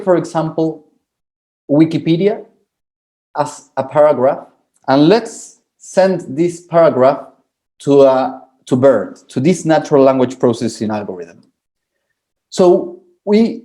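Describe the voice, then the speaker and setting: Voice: medium pitch, dark tone. A speaker from Argentina gives an academic presentation, often restarting and repeating words while explaining with examples. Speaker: forum speaker.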